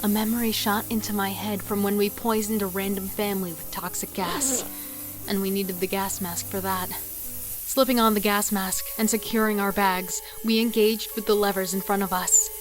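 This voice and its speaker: A woman's voice: medium pitch, fairly even intonation, moderate pace, soft background music, lightly acted. A woman playing a wary, devoted female killer in a horror audio drama, telling and living the story with tense emotion. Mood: urgent. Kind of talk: first-person dramatic narration and horror audio drama dialogue.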